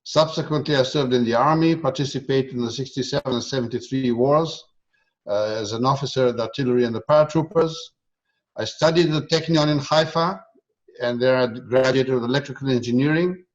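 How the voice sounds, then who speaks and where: An older man in his seventies speaks at a middle pitch over muffled, lo-fi call audio. He is from Romania and Israel and presents himself as a guest speaker, pausing often as he goes. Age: 70s. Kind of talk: prepared talk introduction on a video call. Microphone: video call. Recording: dull lo-fi video call audio.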